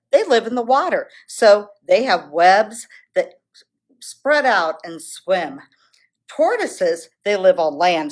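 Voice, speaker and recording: A woman presenting story time for children as a zoo educator, speaking in a simple, explanatory way. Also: mid-range voice.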